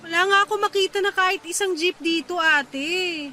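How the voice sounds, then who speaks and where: A woman's voice: extremely high pitch, moderate pace, music in the background. An actress in a Filipino radio drama playing an irritated, tired young woman stranded late at night, complaining in exasperation. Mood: weary complaint.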